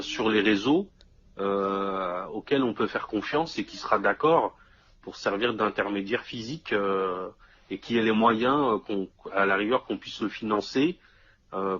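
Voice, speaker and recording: A man in casual discussion, explaining step by step and checking that the listener follows, with a husky, rough voice. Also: lowish voice, fairly bright tone, slight background noise. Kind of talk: casual two-person discussion. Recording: dull lo-fi audio.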